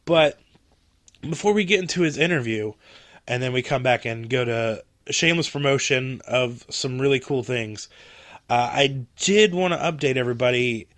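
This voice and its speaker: A man hosting a podcast alone, rambling casually in a fairly monotone voice with many fillers, just over a cold. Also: mid-range voice, normal speed, no echo. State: recovering from a cold. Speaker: podcast host. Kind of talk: casual solo podcast talk.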